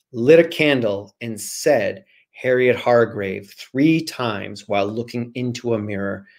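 A man's voice: lowish voice, a little mellow. A man in his forties speaking as a guest author on a video call, chatting casually and reading from a storybook. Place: small room at home, indoors. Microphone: video call, computer at arm's length.

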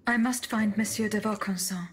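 A woman voicing a dutiful female automaton in a video game, speaking in a measured, formal, restrained way. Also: medium pitch, a little hiss or hum, natural voice. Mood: calm, resolute. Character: female clockwork automaton, poised, dutiful servant of the Queen. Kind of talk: video game cutscene acting.

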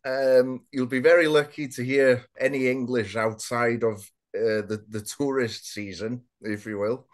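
A man's voice: mid-range voice, fairly even intonation, a little slow, hardly any pauses. A man in his mid-thirties from North Wales, a native Welsh speaker with a mixed northern and southern Welsh accent, chatting casually as an interview guest on a video call. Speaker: guest author. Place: small indoor room, on a video call. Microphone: video call, webcam distance.

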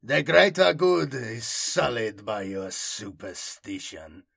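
Guy doing a cold harsh alien zealot pilot, drawn out deliberate words, dry sci-fi audiobook sound. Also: mid-range voice, very animated, vocal fry, fairly bright tone, husky, no room sound, full character voice. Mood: cold condemnation. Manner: harsh, slow, deliberate, condemning.

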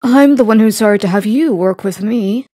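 A woman's voice: medium pitch, moderate pace, mellow, no room sound, studio quality, lightly acted. A woman playing a tender, apologetic middle-aged mother in a visual novel.

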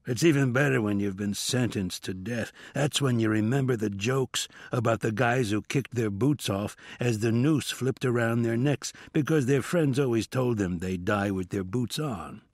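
A man's voice: mid-range voice, normal speed, hardly any pauses, darkish tone, no echo, clean studio sound, close to an everyday voice. A man voicing a cynical, darkly joking convict in an audiobook, blunt, folksy and emphatic. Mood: wry.

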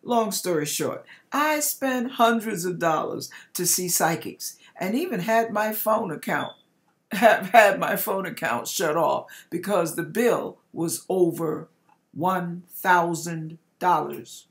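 Woman, middle-aged, lowish voice, very unhurried; reads a written testimony with dramatic pauses. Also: animated. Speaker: host reading a viewer's testimony. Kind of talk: reading a written testimony aloud. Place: home kitchen, indoors.

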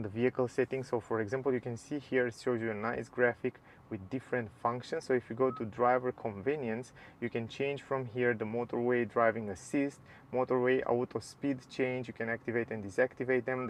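A man reviewing a car's dashboard and screens from the driver's seat, in relaxed, slightly non-native English. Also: medium pitch, a little hiss or hum. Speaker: car reviewer. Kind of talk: casual walkthrough narration. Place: inside a parked car.

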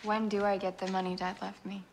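An entitled young stepdaughter in a TV drama, acted by a woman. She sounds earnest and insistent in a medium-pitched voice over faint background noise. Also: flat delivery, a little fast, close to an everyday voice. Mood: pleading. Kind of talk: television drama acting. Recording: faint noise, played from a show.

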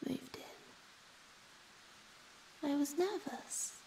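A woman voicing a shy, gentle young ghost girl in a soft, breathy, often whispered ASMR roleplay, speaking hesitantly and tenderly. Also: high-pitched, distant mic, slight background noise, full character voice, poor audio. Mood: wistful, nervous.

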